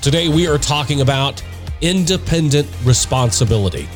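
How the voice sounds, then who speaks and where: A man's voice: mid-range voice, expressive, moderate pace, soft background music. A man hosting his own podcast in a chatty, upbeat way, talking through a stuffed-up, nasal voice from allergies. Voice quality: congested, nasal. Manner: chatty, upbeat, with frequent restarts. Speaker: podcast host. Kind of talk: casual solo podcast talk.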